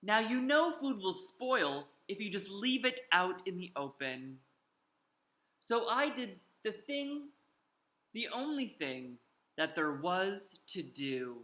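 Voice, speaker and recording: A bright, forceful voice reads a children's story aloud with theatrical emphasis. The pace is slow and deliberate, and the room adds a noticeable echo to the home recording. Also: mid-range voice. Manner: theatrical, drawn-out emphasis, invites listeners to join in. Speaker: storyteller reading a picture book aloud to children. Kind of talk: animated children's story reading, performed in first person.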